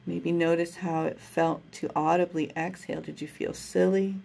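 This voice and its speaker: A woman guiding a breathing meditation, speaking in a slow, soothing, gentle way. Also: on the low side, level tone, creaky. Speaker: meditation guide.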